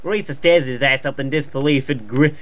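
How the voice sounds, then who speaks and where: A man's voice: mid-range voice, fairly quick, fairly bright tone. A young man casually reading fan fiction aloud and chatting about it in a profane, exasperated way. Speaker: fan fiction reader and host. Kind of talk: casual mocking read-aloud and chat.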